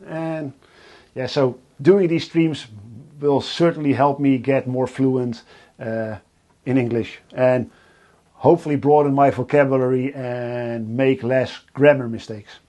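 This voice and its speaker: A Dutch man with a Dutch accent, hosting a casual live stream from his workshop and talking in a halting way with many fillers and restarts. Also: mid-range voice, fairly even intonation, warm tone. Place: home workshop.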